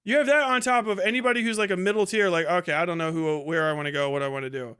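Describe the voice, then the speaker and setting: A man's voice: sits high, rapid-fire, fairly bright tone, clean tone. A man giving casual, profane live commentary in an exasperated, scornful manner with heavy swearing. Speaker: livestream host reacting to a debate.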